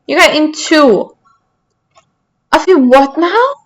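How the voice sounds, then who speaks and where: A woman's voice: high voice, big pitch swings, slow pace. A woman from Austria with an Austrian German accent and German as her first language, chatting casually with her stream chat while she plays, self-deprecating.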